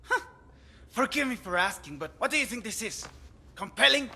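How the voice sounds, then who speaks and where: Animated, scornful nihilistic captive. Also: female voice, a little high, normal speed, slightly rough, full character voice. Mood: condescending. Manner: condescending, theatrical, scornful. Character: arrogant, philosophical, nihilistic cartel captive under interrogation. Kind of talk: dramatic video game cutscene acting.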